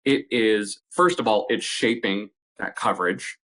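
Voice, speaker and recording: A man with a fairly low voice speaks as a product expert in an interview. He explains technical details conversationally, in a measured way, restating key points for emphasis. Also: a bit bright. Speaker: company representative, audio product expert.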